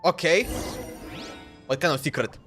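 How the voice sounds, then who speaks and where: High-voiced young man rambling on stream. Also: normal speed, music in the background. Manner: rambling, slangy, stammering repetitions. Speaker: livestreamer playing a game. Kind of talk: casual livestream chat.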